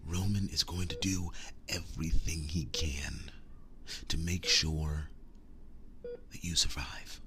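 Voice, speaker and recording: A man playing a devoted, tender werewolf boyfriend in an intimate ASMR roleplay, speaking softly and breathily, often dropping to a whisper, earnest and halting. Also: extremely low pitch, steady tone, background noise. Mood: tender, solemn. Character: devoted, tender werewolf boyfriend sharing his soul with demon knight.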